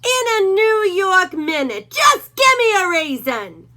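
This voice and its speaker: A woman voicing a cocky, menacing male Doberman who growls out slow threats. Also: extremely high pitch, sing-song, sharp tone, voice pushed up, heavily acted. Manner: snarling, drawn-out threats.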